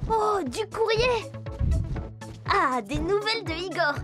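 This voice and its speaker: Very high, cheerful little cartoon girl. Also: expressive, slow, exaggerated voice. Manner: enthusiastic, wide-eyed, addresses young viewers directly. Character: Jasmin, cheerful curious little girl who befriends animals. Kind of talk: children's cartoon dialogue and narration. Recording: music behind the voice.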